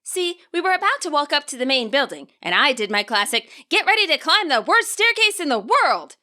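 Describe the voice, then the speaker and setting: A woman voicing an overeager, chatty teenage girl who is student council president, lifting her voice high and lively. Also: brisk, full character voice.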